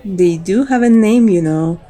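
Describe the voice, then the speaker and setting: A woman acts out a female vampire, seductive and commanding yet devoted. She speaks very unhurriedly in a soft-edged, dark timbre, with the intimate, poetic delivery of an ASMR roleplay. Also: medium pitch, a little slow, lightly acted. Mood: tender, admiring.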